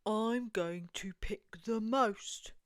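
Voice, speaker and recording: Woman voicing a slow, lower-pitched young girl bear. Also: no echo, studio quality. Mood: determined. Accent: English. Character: young female bear, brave, friendly and curious.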